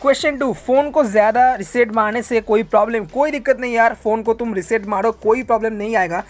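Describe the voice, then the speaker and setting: A man's voice: extremely high pitch, brisk, faint music behind. A man answers viewer questions in a casual, informal vlog style, addressing listeners like friends.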